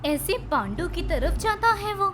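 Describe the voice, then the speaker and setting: A woman's voice: very high voice, very animated, measured pace. A woman narrating a scripted children's story. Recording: music behind the voice.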